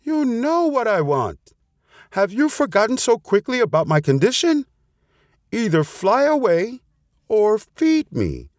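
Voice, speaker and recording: A man's voice: on the high side, sing-song, a little slow, dry recording, clean studio sound, voice pushed up. A man voicing a wily, haughty female fox who bullies and schemes, in a storybook tale. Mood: angry, menacing. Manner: haughty, bullying. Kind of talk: storybook character voice.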